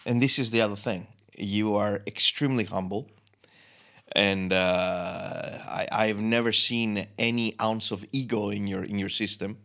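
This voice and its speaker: A man with a low voice hosts a casual interview and heaps praise on his guest. He stumbles and restarts his sentences as he goes. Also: steady tone, measured pace, gravelly. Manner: warm, complimentary, stumbles and restarts. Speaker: host.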